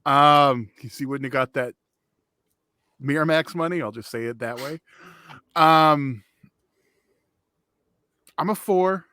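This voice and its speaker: A man's voice: on the high side, takes it slowly, pauses often, bright, forceful tone, dry recording, clean studio sound. A man co-hosting a podcast, talking casually about films in an off-the-cuff review. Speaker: podcast co-host. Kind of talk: casual film review chat.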